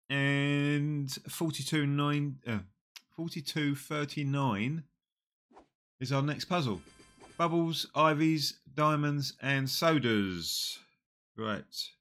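A man in his forties with a slightly nasal voice from a cold, quietly talking through a mobile puzzle game on a headset. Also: mid-range voice. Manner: slow, muttering play-by-play, often trailing off. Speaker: gaming channel host. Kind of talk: casual mobile game commentary. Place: small room indoors.

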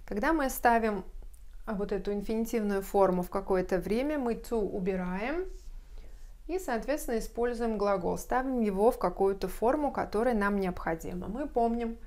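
Woman teacher explaining grammar slowly. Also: medium pitch, a little mellow. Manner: slow, careful explanation with spelled-out English examples. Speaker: English teacher. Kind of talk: whiteboard grammar lesson. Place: bright room at a whiteboard. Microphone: lapel mic.

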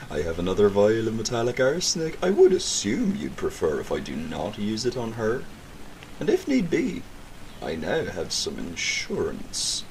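A man acts out a theatrical villain for an audio drama, a gloating male mastermind with a gravelly voice. He takes his time and swoops widely in pitch, full of mockery and condescension. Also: a little mellow, slight background noise, heavily acted, poor audio.

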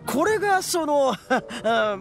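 A young male oni gang boss from an anime game. He blusters and boasts in rough street talk, his pitch leaping about in a very lively way. Soft music plays behind him. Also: slow pace, full character voice. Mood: sheepish, friendly.

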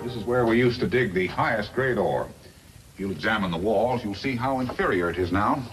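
Male actor as scheming mine boss, smug, greedy, fairly deep, highly varied intonation, old western radio serial, noisy recording. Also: animated, brisk, faint music behind, close to an everyday voice. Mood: smooth deceit. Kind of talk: 1950s western radio acting.

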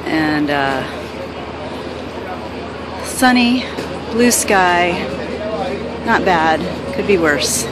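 Woman vlogger, slow easygoing street commentary, handheld camera, heavy traffic noise behind. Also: on the low side, expressive, over a noisy crowd. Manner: chatty, laughs often, slow unhurried commentary. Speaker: vlogger walking the city. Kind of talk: casual walking vlog narration. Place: outdoors on a busy city sidewalk.

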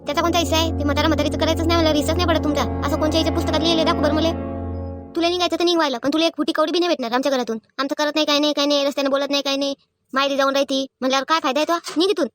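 A woman voicing a sharp-tongued, aggrieved elderly village mother-in-law in a cartoon comedy, scolding and complaining with a rural Maharashtrian accent. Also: high voice, steady tone, faint music behind, natural voice. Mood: indignant scolding. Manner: scolding, complaining, rapid-fire. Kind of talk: animated family comedy acting.

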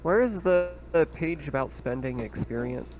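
A man's voice: fairly high pitch, animated, normal speed, a little mellow, a little hiss or hum. A man talking casually as a player in an online tabletop roleplaying game session.

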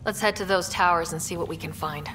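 Actress as young female explorer, lowish voice, wry, plain-spoken, determined, sci-fi game, music behind. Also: quick pace, close to an everyday voice, low-quality recording. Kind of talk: scripted game dialogue.

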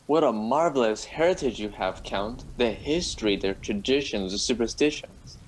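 An actor playing a polite, flustered gentleman doctor in a radio play, courteous and hesitant. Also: mid-range voice, lively intonation, moderate pace, a little hiss or hum, natural voice, poor audio. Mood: polite, uneasy.

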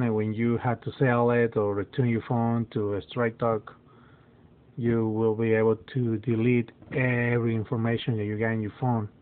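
A man whose first language is Spanish explains a phone reset very slowly, stopping for fillers and restarting his sentences. His voice is mid-pitched and sounds thin and tinny, as if it came through a phone line, recorded by a handheld camera in a dim room. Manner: halting, many fillers and restarts, non-native phrasing. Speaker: phone tutorial presenter. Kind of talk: casual how-to walkthrough. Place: dim indoor room, phone filmed in hand.

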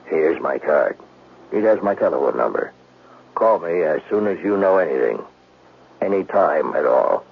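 A man acting a halting, matter-of-fact police detective in a 1970s radio drama. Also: very deep voice, lively intonation, vocal fry, noisy background, exaggerated voice. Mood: inquiring. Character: plodding, hesitant police detective on the case. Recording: thin, phone-like old radio sound.

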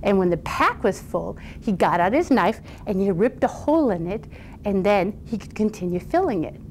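A woman storyteller telling a fairy tale aloud in an informal, conversational and animated way. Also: mid-range voice, moderate pace, a little hiss or hum, rough recording.

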